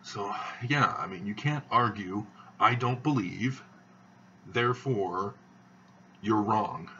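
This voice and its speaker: Man, monotone, very slow rambling monologue, lowish voice, muffled distant mic. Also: slight background noise. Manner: slow, halting, many fillers. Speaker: video channel host.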